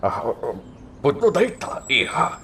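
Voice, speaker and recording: Slow, guarded crime boss. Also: male voice, on the high side, sing-song, bright, forceful tone, a little hiss or hum, exaggerated voice. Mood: flustered, evasive. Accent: Filipino, Ilocano. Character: male crime boss, guarded, fatherly to young woman. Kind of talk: Ilocano radio drama acting.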